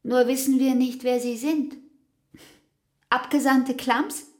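Slow, brooding, anguished woman. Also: high-pitched, fairly even intonation, darkish tone. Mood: uneasy. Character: brooding, anguished woman, devoted but fatalistic. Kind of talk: literary radio drama acting.

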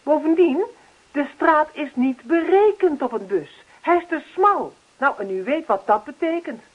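An actress playing an earnest, officious neighbourhood campaigner in a radio play, speechifying persistently. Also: female voice, sits high, lively intonation, unhurried. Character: earnest, insistent female neighbourhood action-committee campaigner. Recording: dull lo-fi radio play recording.

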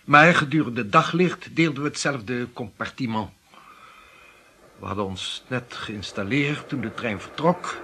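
An anxious, deferential manservant in a radio play. He speaks formally and with careful, obsequious politeness. The old recording carries clear noise. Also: mid-range voice, unhurried, bright, forceful tone. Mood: polite, earnest. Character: deferential, formal, anxious male servant or assistant. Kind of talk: radio drama acting.

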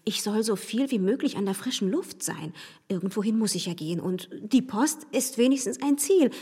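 An actress in a German radio play voicing a reserved, proud young gentlewoman, speaking with courteous, restrained firmness. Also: medium pitch, expressive, normal speed, husky, in a large hall. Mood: polite firmness.

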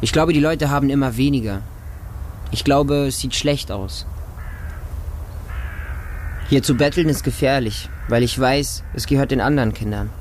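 A man's voice: medium pitch, moderate pace, long pauses, a little mellow, a little hiss or hum, close to an everyday voice. A man playing a watchful, trusting homeless boy in a radio drama monologue, speaking in simple, childlike short sentences. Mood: tense, defiant. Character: homeless street boy living with a pack of dogs, watchful, trusting, defiant.

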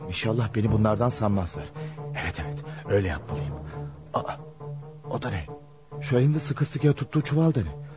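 A man's voice: on the low side, lively intonation, a little fast, pauses often, muffled recording, over music, natural voice. An actor playing a nervous, frightened, stammering male driver in a radio play, exclaiming and muttering to himself. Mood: anxious musing. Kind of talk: Turkish radio theatre acting.

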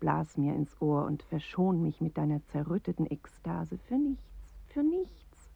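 Weary wry woman, heavy creak, slow poetic delivery, thin phone-like radio sound. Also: low-pitched, very animated, mellow, dry recording, full character voice. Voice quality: husky, gravelly. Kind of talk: poetic radio drama monologue. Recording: muffled, phone-like 1980s radio recording.

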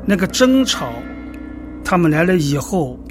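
An imperious Chinese emperor in a radio drama. He declaims slowly and haughtily in a slightly high male voice, over prominent music. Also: sing-song. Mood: imperious, disdainful. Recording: loud music behind the voice.

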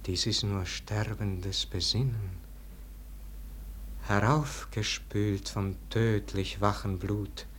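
Slow, exalted dying nobleman. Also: on the low side. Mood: resigned, reflective. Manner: declamatory, theatrical, lingering over verse. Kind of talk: 1940s-50s German radio verse drama acting. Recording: old radio recording with faint noise.

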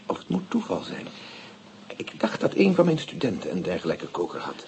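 A fussy university professor in a radio drama. The voice is creaky and very animated in pitch, with faint noise behind it. He hesitates pedantically and carries himself with self-importance. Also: moderate pace, heavily acted. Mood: musing. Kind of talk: radio play acting.

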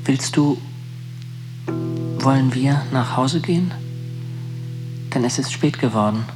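An actor playing a gentle male lover in a literary radio drama, speaking slowly in a hushed way. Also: mid-range voice, over music, close to an everyday voice, low-quality recording. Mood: gentle, coaxing. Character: gentle male lover coaxing someone homeward.

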